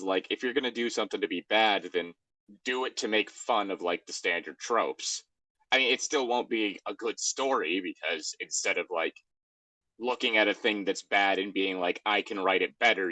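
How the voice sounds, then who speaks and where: A man's voice: on the low side, sharp tone, dry recording, low-quality recording. A man co-hosting a show in casual chat, rambling wryly as he reacts to a story. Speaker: co-host of a fan fiction reading show.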